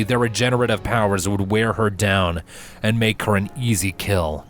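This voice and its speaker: A man reading scripted fan fiction as a narrator, with measured, dramatic storytelling. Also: on the low side. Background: background music.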